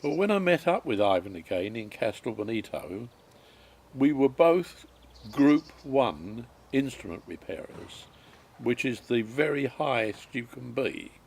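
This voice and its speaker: Slow-speaking man in his nineties, halting. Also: mid-range voice, very animated, gravelly, a little mellow, a little hiss or hum. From England. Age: 90s. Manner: unhurried, halting with many ums and restarts. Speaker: interviewee. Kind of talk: reminiscing life story.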